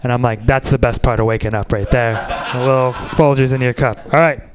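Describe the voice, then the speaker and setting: A man's voice: medium pitch, steady tone, a little fast, noisy background. A man doing a loose, crude stand-up set at an open mic. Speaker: stand-up comedian.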